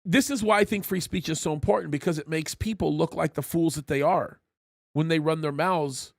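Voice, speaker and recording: A man's voice: fairly even intonation, a little fast, creaky, no echo, studio quality. A man hosting a live talk show as a pastor, arguing his points emphatically and often repeating words as he starts a thought. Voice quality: high-pitched voice.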